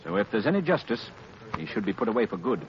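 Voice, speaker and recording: An actor playing a composed, worldly young gentleman sleuth, assured and articulate, in a 1930s radio drama. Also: lowish voice, sing-song, fast, noisy background. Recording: muffled 1930s radio, crowd murmur.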